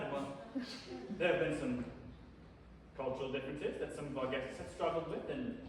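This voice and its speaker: A man acting a chatty, fussy, flattering puppet-show star on stage in a rambling, theatrical way. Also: a little high, close to an everyday voice. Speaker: stage actor. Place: large hall, live stage performance. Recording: echoey hall from far off, faint noise.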